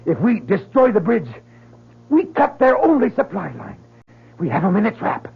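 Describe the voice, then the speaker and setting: An actor playing a fervent, haunted Southern soldier in an old-time radio drama, speaking in a halting, earnest way with a husky voice. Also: male voice, sits high, very animated, heavily acted. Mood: fervent resolve. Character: fervent Southern soldier, haunted man sure of his miracle. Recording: dull lo-fi old radio recording.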